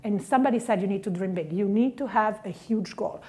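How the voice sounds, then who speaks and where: A woman's voice: medium pitch, brisk, warm tone. A middle-aged woman from Italy with an Italian accent, giving a direct, punchy motivational career talk. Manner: direct, punchy, stressing key words.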